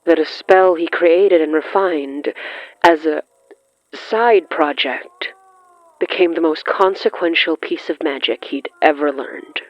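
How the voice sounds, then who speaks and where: Slow, dramatic horror narrator, muffled sound. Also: medium pitch, no room sound. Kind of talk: scripted horror fiction narration, third person. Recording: muffled lo-fi sound with music underneath. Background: music.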